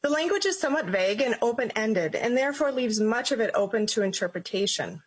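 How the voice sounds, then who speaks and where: A woman reading prepared testimony before a legislative committee in a formal, measured way. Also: fairly high pitch, expressive, husky, rough recording. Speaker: citizen giving testimony.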